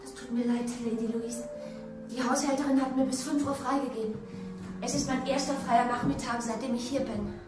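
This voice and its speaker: An actress playing a polite, dutiful, timid young housemaid in a radio play, speaking deferentially. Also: female voice, high-pitched, steady tone, fairly quick, lightly acted. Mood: apologetic, obedient. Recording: very echoey, distant, music behind.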